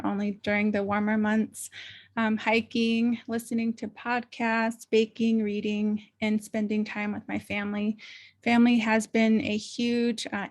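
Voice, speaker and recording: Woman presenting with a steady, flat voice. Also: a little high. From Klagetoh, Arizona, Navajo Nation. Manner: steady, with frequent "uh" fillers. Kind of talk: webinar presentation.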